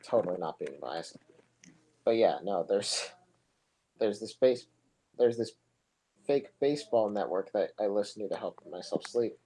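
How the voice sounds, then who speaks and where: Young male soccer play-by-play voice: low, monotone, mellow and husky, relaxed and rambling. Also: unhurried, long pauses, poor audio. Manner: relaxed, rambling, chatty asides between plays. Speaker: soccer commentator. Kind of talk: casual live play-by-play commentary.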